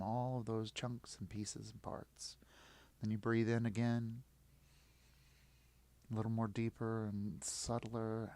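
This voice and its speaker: A man leading a guided yoga nidra meditation in short, soothing, encouraging phrases with long pauses. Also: on the low side, a little mellow, no room sound.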